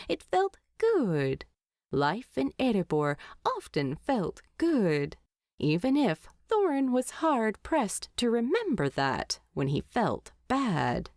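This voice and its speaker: A woman's voice: mid-range voice, darkish tone, no echo, studio quality. A woman reading scripted fiction aloud as an audiobook narrator.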